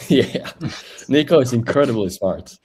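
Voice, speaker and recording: A man, chatting as a guest over a video call in a halting way with many fillers. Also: medium pitch, expressive, darkish tone, a little hiss or hum. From Norway. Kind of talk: casual interview chat.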